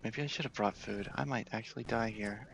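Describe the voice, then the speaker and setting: A man giving casual, understated ASMR gameplay commentary in a breathy half-whisper close to the mic. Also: fairly low pitch, brisk, a bit bright, rough recording. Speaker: video game player and host.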